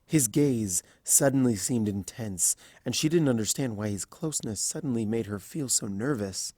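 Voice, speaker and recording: A man's voice: mid-range voice, expressive, normal speed, mellow, no room sound. Man reading a story in a dry room. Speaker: fan fiction reader. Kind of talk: scripted story narration.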